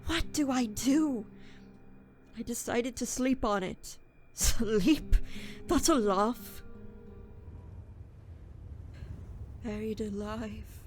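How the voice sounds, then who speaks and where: Radio drama lead: guilt-ridden young petty thief female performer, raised pitch, unhurried, brooding narration. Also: faint music behind. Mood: desperate, then wry, weary. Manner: brooding, dramatic first-person confession.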